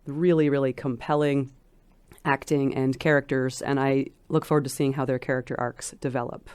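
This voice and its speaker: A woman scholar giving considered commentary as an interview guest, speaking in an articulate, measured and emphatic way. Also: very deep voice, level tone, a little mellow, clean tone, no room sound.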